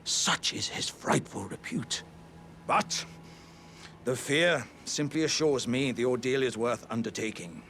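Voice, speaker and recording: An actor playing an earnest, jovial living warrior jar in a video game, speaking with grand, old-fashioned courtesy and theatrical formality. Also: male voice, medium pitch, measured pace, fairly bright tone, a little hiss or hum, close to an everyday voice. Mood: awed, then delighted, resolute. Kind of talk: video game character dialogue.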